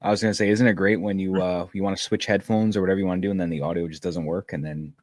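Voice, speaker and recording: A man's voice: low-pitched, fairly even intonation, rapid-fire, a little mellow, dry recording, studio quality. A man co-hosting a casual sports podcast, joking and laid-back. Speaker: podcast co-host.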